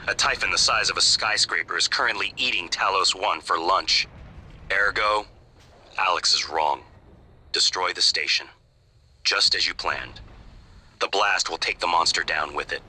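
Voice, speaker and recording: Low, bright-voiced logical AI advisor. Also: male voice, slight background noise. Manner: measured, articulate, matter-of-fact. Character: calm, coolly logical male artificial intelligence advisor. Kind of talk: scripted video game acting.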